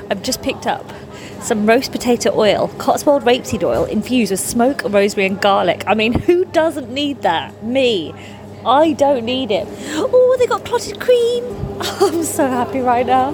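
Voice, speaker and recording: Woman comic, 40s, first language Polish; bubbly, chatty road vlog over audible background noise. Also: fairly high pitch. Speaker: touring comedian. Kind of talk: casual vlog and personal storytelling. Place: in a car and a service station shop.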